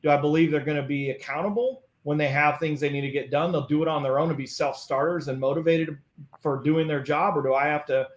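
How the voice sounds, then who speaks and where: A man's voice: fairly high pitch, fairly even intonation, fast talker, roomy sound. A man talking as a guest in a casual interview, earnest and often repeating words as he starts a thought. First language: English.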